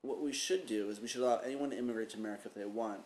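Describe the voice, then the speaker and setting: A young man co-hosting a casual podcast, cracking dry, deadpan jokes. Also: mid-range voice, very fast. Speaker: podcast co-host. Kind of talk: casual banter. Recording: echoey room with faint noise.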